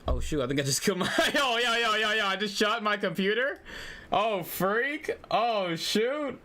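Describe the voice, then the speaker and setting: A man livestreaming a game, talking to his chat in an animated, slangy way as he thinks aloud. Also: sits high, normal speed, slight background noise. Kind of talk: game livestream, reading dialogue aloud and commenting.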